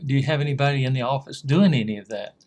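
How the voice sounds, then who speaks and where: A man hosting a TV interview show, asking questions in a halting way and often repeating his words. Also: medium pitch, darkish tone. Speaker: host.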